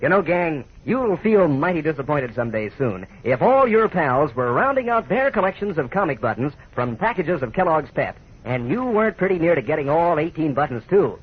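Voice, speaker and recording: A man's voice: on the high side, sing-song, moderate pace, few pauses, breathy edge. An American man announcing a folksy 1940s radio advertisement in a chummy, enthusiastic manner. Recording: thin, narrowband vintage radio recording.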